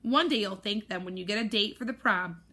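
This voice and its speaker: A woman's voice: medium pitch, fairly quick, sharp tone. A woman teacher voicing a stern, tall woman who scolds a girl, read aloud from a storybook.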